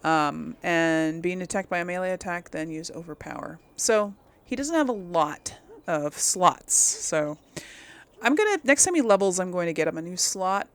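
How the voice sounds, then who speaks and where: Casual woman gamer with a creaky voice. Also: fairly low pitch, lively intonation, normal speed. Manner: informal, conversational, thinking aloud. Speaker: video game streamer, host of a role-play series. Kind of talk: casual gameplay commentary.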